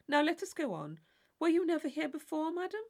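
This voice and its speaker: A woman voicing a witty, teasing gentleman in his mid-twenties, fluent and mock-formal, in a classic novel reading. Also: sits high, sing-song, fairly quick, voice pushed up. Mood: simpering, affected. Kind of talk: classic novel audiobook character voice.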